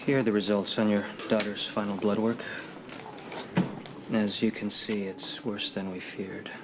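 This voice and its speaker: An actor playing a grave, measured doctor in a TV soap. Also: male voice, lowish voice, level tone. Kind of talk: television soap opera acting. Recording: clearly noisy TV soundtrack.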